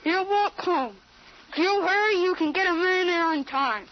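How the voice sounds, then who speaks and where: A woman playing a boy who poses as a grown-up caller in an old-time radio drama, measured and formal. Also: very high-pitched, expressive, noisy background. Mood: grave, urgent. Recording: muffled old radio recording.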